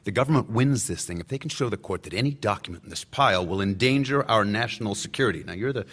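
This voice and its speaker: Middle-aged lawyer, veteran, sharp bright tone, wry, sardonic, talkative, naturalistic radio play. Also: male voice, lowish voice, animated, quick pace. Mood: worried, businesslike. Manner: sardonic, chatty, argumentative. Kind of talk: naturalistic radio drama acting.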